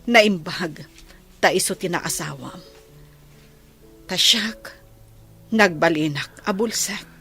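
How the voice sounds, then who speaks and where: A woman playing a poor, blind, long-suffering mother in a radio drama, speaking softly and with resignation. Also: lowish voice, slow, creaky, pauses often, faint music behind, heavily acted. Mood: resigned. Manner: soft, resigned, apologetic.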